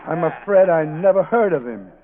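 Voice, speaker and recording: High-voiced prim professor played by an actor, tinny radio. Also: male voice, lively intonation, moderate pace, a crowd in the background, close to an everyday voice. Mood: earnest, curious. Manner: formal, stammering, fussily polite. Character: prim, naive, flustered bookish male professor. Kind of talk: 1940s radio comedy acting. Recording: tinny, band-limited 1940s radio recording.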